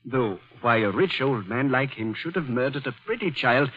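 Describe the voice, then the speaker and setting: A man's voice: medium pitch, expressive, normal speed, natural voice. An actor playing a genial, chatty man in a 1940s radio drama. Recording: dull old radio broadcast.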